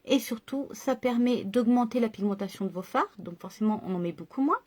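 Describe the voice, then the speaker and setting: A woman's voice: fairly high pitch, a little fast, darkish tone. A woman chatting through a makeup tutorial and explaining each step to her viewers. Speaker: makeup vlogger.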